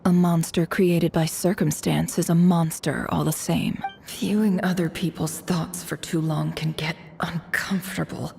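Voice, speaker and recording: Haughty female boss for a fantasy game: lowish, velvety-dark, theatrical, dryly sarcastic. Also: fairly even intonation, creaky, slight background noise. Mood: somber, reflective. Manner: imperious, dryly sarcastic, measured. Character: haughty, teasing, theatrical female boss, world-weary and bossy. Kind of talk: video game character acting.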